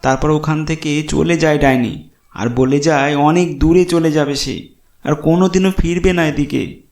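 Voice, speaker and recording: An earnest, pleading village man acted by a male narrator from a cartoon ghost story. His voice is pushed high and slightly creaky, with roomy echo around it. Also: steady tone, moderate pace, darkish tone, lightly acted. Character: Bhola, remorseful, kind-hearted poor village man. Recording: roomy echo, music behind.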